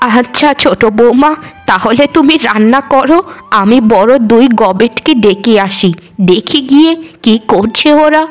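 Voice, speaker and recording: A woman's voice: a little high, lively intonation, measured pace, faint music behind. A woman voicing an elderly cartoon mother-in-law, weary and scolding, with a lamenting, nagging way of talking. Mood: weary, fond. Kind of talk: Bengali cartoon voice acting.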